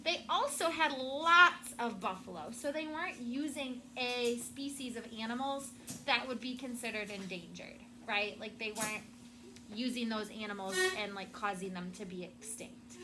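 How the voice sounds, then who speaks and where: Woman, schoolteacher, high-pitched, reading and explaining simply to children, check-in questions, noisy classroom recording. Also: normal speed. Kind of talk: classroom read-aloud and discussion with children.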